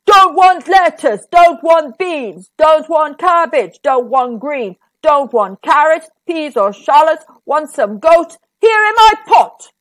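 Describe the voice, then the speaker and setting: A woman voicing a greedy, hungry troll who chants his demands in a loud sing-song. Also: high-pitched, sing-song, measured pace, hardly any pauses.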